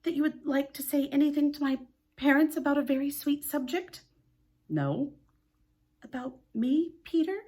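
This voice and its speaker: A gentle, motherly young girl from a storybook, as a woman reader puts her on. She speaks high and soft, earnest and tender. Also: expressive, moderate pace. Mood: pleading.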